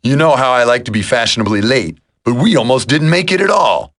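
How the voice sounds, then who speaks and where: A man voicing an easygoing demolitions commando in a video game, droll, with a gravelly, husky voice. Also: mid-range voice, lively intonation, a little fast, fairly bright tone, close to an everyday voice.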